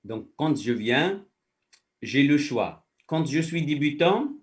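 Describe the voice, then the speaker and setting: A man's voice: a little high, animated, takes it slowly, distant mic. A man, a faculty director, giving explanatory answers as an interview guest and patiently walking through first-person examples.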